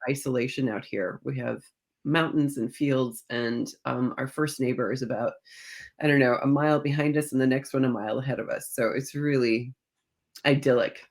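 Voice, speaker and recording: A woman's voice: extremely low pitch, steady tone, moderate pace. A middle-aged woman speaking as an interview guest, an author telling stories conversationally and acting out bits of reported dialogue. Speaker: guest, historical fiction author.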